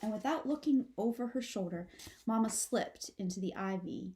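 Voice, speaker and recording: A woman reading a children's story aloud like a teacher, in a measured, expressive way. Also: medium pitch. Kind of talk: storybook narration.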